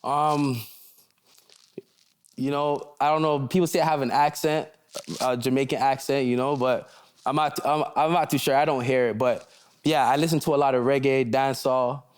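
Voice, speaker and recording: A man's voice: medium pitch, fairly even intonation, moderate pace, clean tone. A young man from Canada, a rapper, chatting loosely in an interview and often checking in with "you know". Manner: laid-back, loose, repeats "you see what I'm saying". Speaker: rapper guest. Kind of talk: casual interview chat.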